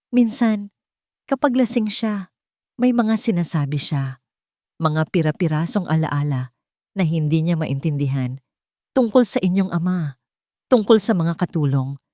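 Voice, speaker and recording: A woman's voice: on the low side, dark tone, no room sound, natural voice. Tired male lawyer acted by a woman, audio drama, Filipino accent, subdued, unsmiling, regretful, dry close sound. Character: weary male lawyer, bitter, ashamed, reserved former brother-in-law.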